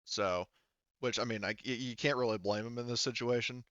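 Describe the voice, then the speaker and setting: Male game master, low smooth voice, casual and halting, thinking aloud; dry, slightly dull recording. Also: steady tone, a bit bright, dry recording. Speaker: game master of a tabletop roleplaying game. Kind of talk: casual unscripted game narration and rules talk.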